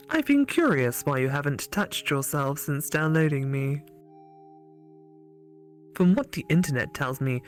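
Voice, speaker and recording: A woman voicing a flirty, curious female AI creature in a visual novel, frank and teasing. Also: rumbling low. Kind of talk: visual novel character read aloud.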